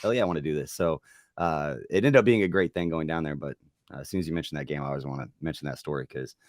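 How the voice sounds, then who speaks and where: A man with a very low voice who is losing it, so it sounds hoarse and worn. He talks quickly and chattily, with lots of fillers. The sound is close and dry, with no room around it. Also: no echo. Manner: rambling, chatty with filler words. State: losing his voice. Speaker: host. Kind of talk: casual sports podcast chat.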